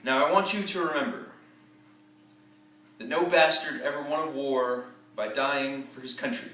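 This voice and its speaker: A man performing a theatrical war-movie monologue as a tough, commanding American army general, emphatic and deliberate with dramatic pauses. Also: mid-range voice, steady tone, bright, forceful tone, close to an everyday voice. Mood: proud, commanding. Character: tough, profane American army general rallying his troops. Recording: roomy echo, distant microphone.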